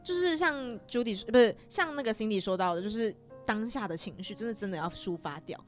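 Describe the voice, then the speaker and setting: Taiwanese woman podcast host, talks fast, chatty and acts out little asides, bit of music behind. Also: medium pitch, expressive. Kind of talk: casual chat.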